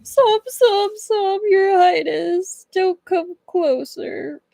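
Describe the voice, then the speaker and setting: A woman's voice: extremely high pitch, extremely slow, creaky, mellow, dry recording, voice pushed up, heavily acted. A woman voicing a shy young merman from a storybook, whimpering and pleading. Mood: tearful pleading.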